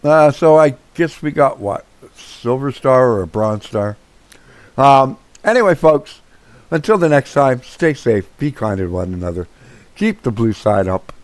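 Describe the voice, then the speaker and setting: A man with a hoarse voice who says he is losing it, chatting casually over gameplay as the host of his own channel, rambling with many fillers and restarts. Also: medium pitch, expressive, a little slow, dry recording.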